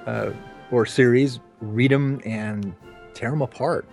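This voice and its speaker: A man's voice: mid-range voice, steady tone, takes it slowly, vocal fry, a little mellow, faint music behind. Wry male podcast host chatting casually. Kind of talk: casual conversational discussion.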